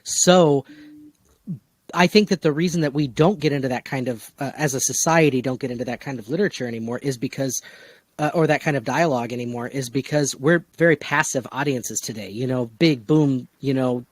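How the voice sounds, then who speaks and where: A man's voice: medium pitch, a little fast, dry recording. A man hosting a casual podcast discussion, speaking with an enthusiastic, emphatic manner. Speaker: podcast host and producer.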